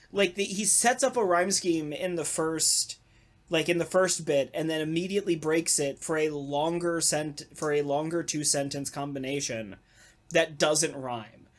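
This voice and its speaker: A woman hosting a podcast, chatting casually in a deadpan, sarcastic way. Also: low voice. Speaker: podcast host. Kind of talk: casual chat.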